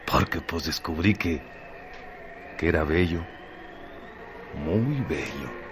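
An actor playing a vain orphaned man recalling his boyhood in a halting, deliberate radio drama monologue. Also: very deep voice, animated, noisy background, exaggerated voice. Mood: musing, self-admiring.

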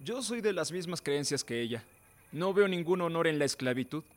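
A man's voice: fairly high pitch, lively intonation, normal speed, close to an everyday voice. A man acting in a radio drama as an idealistic young cousin in a colonial family, earnest and articulate. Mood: earnest, indignant. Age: young adult. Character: idealistic young male cousin, sympathetic to independence.

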